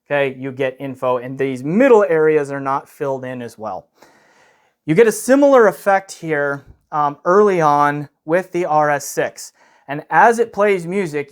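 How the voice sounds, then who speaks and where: Higher-pitched man giving a casual gear review. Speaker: product reviewer.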